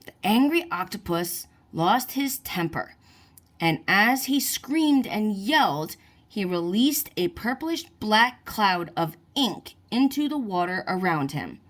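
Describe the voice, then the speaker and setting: Slow, animated woman reading a children's story. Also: mid-range voice. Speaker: children's book reader. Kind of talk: read-aloud storybook narration for children.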